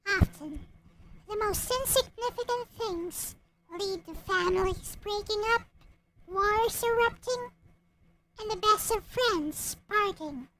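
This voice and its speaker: Woman, extremely high pitch, slow pace, casual storybook reader, light music behind. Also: noisy background. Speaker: visual novel creator and reader. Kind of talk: casual chat and storybook narration.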